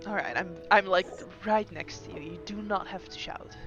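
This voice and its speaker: A woman voicing an evasive, wry fey-pact trickster in kobold form, speaking in a smooth, elegant manner. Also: medium pitch, moderate pace, lo-fi sound, music in the background, natural voice. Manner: elegant, smooth, mock-wounded.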